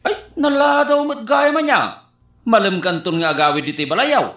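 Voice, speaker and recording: An actor playing a nosy, whiny comic neighbour in an Ilocano radio comedy drama, prying and exaggerated, with an Ilocano accent. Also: male voice, very high voice, moderate pace, fairly bright tone. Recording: echoey, with music behind.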